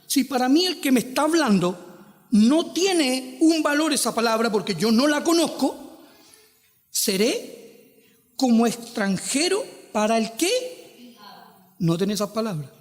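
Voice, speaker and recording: A man's voice: extremely high pitch, sing-song, takes it slowly, pauses often, in a large hall. A Chilean man preaching a sermon, posing rhetorical questions and stretching out quoted scripture with emphasis. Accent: Chilean Spanish. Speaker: pastor.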